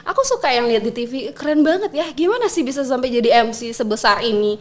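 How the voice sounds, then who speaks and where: A young woman with a high voice talks as a guest on a podcast. She is chatty and expressive, speaks in a casual conversational way, and laughs easily. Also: quick pace.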